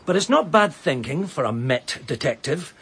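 An actor playing a disgraced former chief inspector in a radio drama, measured and reasoning. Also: male voice, on the high side, big pitch swings, fairly bright tone, breathy edge, heavily acted. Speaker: former detective.

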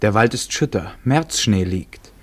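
A man's voice: lowish voice, expressive, a little slow. A man acting as a co-narrator in a radio play, telling it in a poetic, dramatic manner.

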